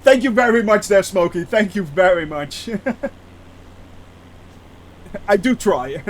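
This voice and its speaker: A man's voice: high-pitched, lively intonation, normal speed. A man with a thick Dutch accent chatting casually on a live stream, hesitant with many restarts and frequent laughter. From Netherlands. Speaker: flight sim streamer.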